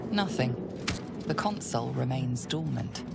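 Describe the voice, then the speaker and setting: A woman narrating a fantasy video game in the second person as a hushed, ominous storyteller. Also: a bass voice, animated, unhurried, vocal fry, heavily acted. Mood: measured, suspenseful. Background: loud music.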